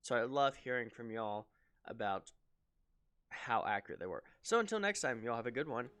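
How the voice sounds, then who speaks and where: Smooth-voiced man, casual sim commentary. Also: mid-range voice, moderate pace, no room sound, clean studio sound. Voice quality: slightly raspy voice. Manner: relaxed, rambling, lots of ums. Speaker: flight sim video host.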